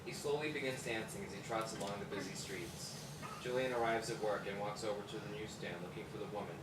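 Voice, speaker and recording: A man's voice: lowish voice, quick pace. Male stage-direction reader, echoey noisy room. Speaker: narrator reading stage directions. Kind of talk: scripted narration at a table read. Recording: echoey room, distant mic, loud noise.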